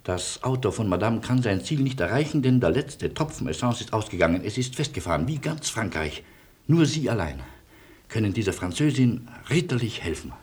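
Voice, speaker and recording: Wily, husky-voiced refugee man. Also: lowish voice, big pitch swings, a little mellow. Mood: urgent, persuasive. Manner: deferential, measured, literary storyteller. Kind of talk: German radio play acting and first-person narration.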